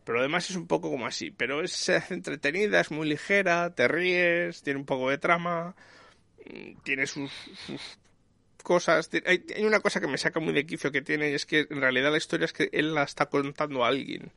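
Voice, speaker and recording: A man's voice: fairly high pitch, fairly quick, creaky, pushed and bright, dry recording. A man giving a casual, rambling review as a conversational host. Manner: rambling, searching for details. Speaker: series reviewer and podcast host.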